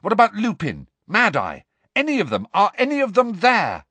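A man's voice: high-pitched, huge pitch swings, normal speed, pushed and bright, breathy edge, dry recording, pitched above the performer's own voice, heavily acted. A man voicing a hot-tempered teenage boy, demanding and insistent, in a storybook reading. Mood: urgent.

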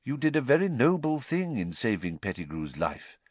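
Elderly male headmaster, a man's character voice: gentle, quiet, sagely measured, lilting pitch, audiobook. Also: very animated, no echo. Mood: calm, grave. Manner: quiet, measured, soft-spoken, reflective.

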